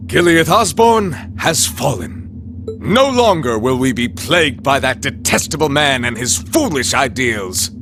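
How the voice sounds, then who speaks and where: A man's voice: mid-range voice, sing-song, fairly bright tone, soft background music. An actor playing a haughty, contemptuous nobleman villain in a video game, speaking with grandiose pomp. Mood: smug. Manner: pompous, grandiose, slow oratory. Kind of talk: dramatic video game cutscene acting.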